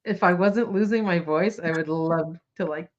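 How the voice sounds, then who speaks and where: A woman co-hosting a toy news show in casual chat, her voice hoarse and fading, giggly and enthusiastic. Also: on the low side, moderate pace, lo-fi sound.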